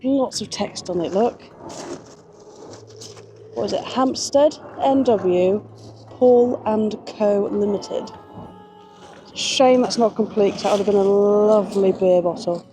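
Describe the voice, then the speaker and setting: A woman's voice: mid-range voice, slow pace, long pauses, dark tone. A woman presenting casually as she searches outdoors on a river foreshore. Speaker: presenter of a mudlarking video. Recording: outdoor ambient noise.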